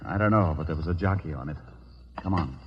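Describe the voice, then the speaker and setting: A man's voice: very deep voice, quick pace, muffled recording, heavy background noise. A man playing a tough, commanding private detective in an old radio drama, with an American accent and brusque, hard-boiled delivery. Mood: hushed, tense. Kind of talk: 1940s-50s radio detective acting with narration. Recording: old radio recording with faint music.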